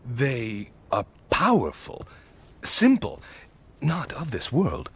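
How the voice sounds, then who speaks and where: Casting: a composed counselor, husky voice, wide inflection. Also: male voice, mid-range voice, wildly swooping pitch, exaggerated voice. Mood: earnest. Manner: measured, respectful, persuasive.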